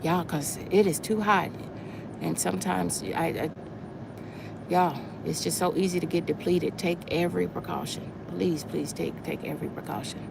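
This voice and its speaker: A woman in her forties chatting casually on a livestream while out walking, repeating herself warmly and calling her viewers y'all. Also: lowish voice, steady tone, normal speed, gravelly. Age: 40s. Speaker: livestream host. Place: outdoors on a walk, wind noise. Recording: faint wind noise.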